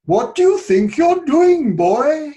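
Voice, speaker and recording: A man's voice: very high-pitched, very animated, takes it slowly, voice pushed up, heavily acted. A man imitating a stern Welsh schoolteacher who scolds a boy, in a Welsh accent. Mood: scolding. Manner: sharp, scolding.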